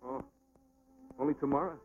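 An actor playing an earnest, quick-tempered young American boxer in love, with plain working-class speech in an old film drama. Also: male voice, narrow, tinny audio, soft background music, lightly acted. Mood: hopeful.

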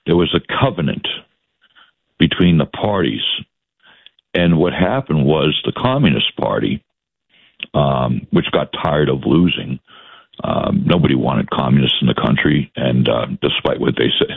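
A man in his sixties from the South Shore of Boston with a Boston accent, talking politics as a guest in a halting way, with many fillers and restarts. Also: rumbling low, animated, vocal fry, breathy edge, dry recording. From Massachusetts, South Shore of Boston. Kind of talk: political talk interview. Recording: dry, phone-like line.

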